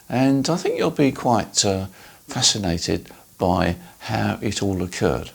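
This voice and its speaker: An older man talks informally as a video host. His voice is low and crackly with fry, and it rises and falls in an animated way. He rambles along conversationally. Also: slow pace. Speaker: host of a ham radio dealer's video channel. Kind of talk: casual informal talk to viewers.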